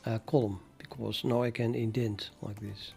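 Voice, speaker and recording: An older Dutch-accented man chats with his viewers while coding, in a gravelly, creaky voice with a mid pitch. He muses and stumbles over his words, filling gaps with uh and the odd quiet chuckle. Soft music plays faintly behind him. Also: little pitch movement. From Netherlands. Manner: musing, hesitant, halting with many fillers, chuckles to himself. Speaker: programming livestreamer. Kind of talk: casual livestream chat while coding.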